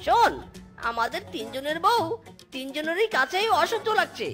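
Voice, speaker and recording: A woman's voice: big pitch swings, moderate pace, a bit bright. A woman voicing a scheming, whiny fox husband in a cartoon story, with exclamatory, slangy delivery. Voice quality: high-pitched cartoon voice. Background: music.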